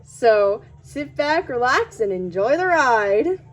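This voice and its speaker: A woman's voice: fairly high pitch, big pitch swings, slow pace, faint music behind. A woman hosting a read-aloud, reading a children's storybook. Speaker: channel host and story reader.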